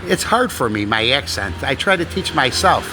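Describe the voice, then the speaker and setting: A man's voice: medium pitch, big pitch swings, moderate pace. A man vlogging casually, rambling and repeating words with loose grammar, recorded outdoors. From Canada. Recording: noisy outdoor street recording.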